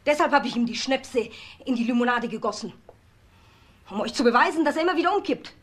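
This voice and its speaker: An actress playing an ambitious, defiant daughter-in-law in a German crime radio drama, sharp-tongued and emphatic. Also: female voice, fairly high pitch, animated, fast talker. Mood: defiant, scornful.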